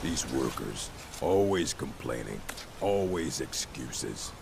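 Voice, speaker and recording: An actor playing a gruff, blunt smelter overseer in a fantasy video game, with a gravelly, grumbling delivery. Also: male voice, rumbling low, sing-song, slow pace, noisy background, full character voice. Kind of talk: video game character dialogue. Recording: game music behind the voice.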